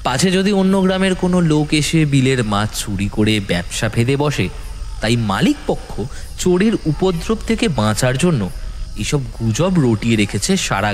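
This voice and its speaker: Expressive male horror story narrator. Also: mid-range voice, a little hiss or hum.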